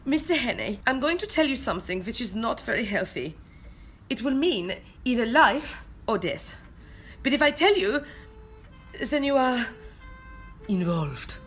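A woman's voice: fairly high pitch, very animated, a little slow, pauses often, a bit bright. A woman playing a grave, melodramatic foreign secret agent who slips into German exclamations in an amateur radio play. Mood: grave, insistent. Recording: homemade recording with noticeable noise.

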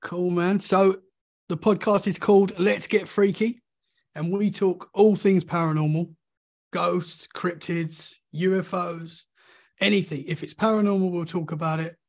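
A man with an English accent from the London area, talking casually on a video call as a podcast guest, with a sore throat from a cold. He sounds friendly and keen, quick to agree. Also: high voice, fairly even intonation, darkish tone. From Essex, England.

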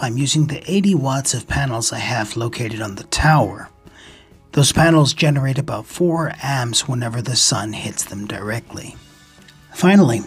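A man with a very husky, breathy voice narrates an instructional hobby video. He explains things in a measured, methodical way as the host, with music playing behind his voice-over. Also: medium pitch. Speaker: ham radio video host.

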